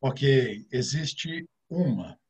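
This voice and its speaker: A man's voice: medium pitch, lively intonation, drawn-out words, darkish tone, husky. A middle-aged man with a São Paulo interior Brazilian accent, a guest financial advisor explaining things step by step, with many filler sounds. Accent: São Paulo interior Brazilian Portuguese. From interior of São Paulo state, Brazil.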